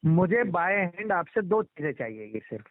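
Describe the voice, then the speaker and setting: A man's voice: fairly high pitch, very animated, a little slow. A man explaining a procedure insistently over the phone, repeating his instructions and talking over others. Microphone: telephone line. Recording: muffled band-limited phone call recording.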